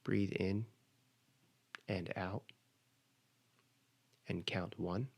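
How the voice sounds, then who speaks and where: A man leading a guided meditation, giving measured, instructional cues with pauses between phrases. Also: low voice, fairly even intonation, vocal fry.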